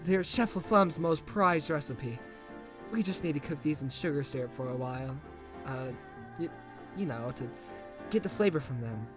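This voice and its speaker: A woman's voice: sits low, expressive, moderate pace, over music. A woman playing a timid, flustered male baker in an audio drama, stammering, mumbling and eager to please. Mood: meek, friendly. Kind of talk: audio drama character acting.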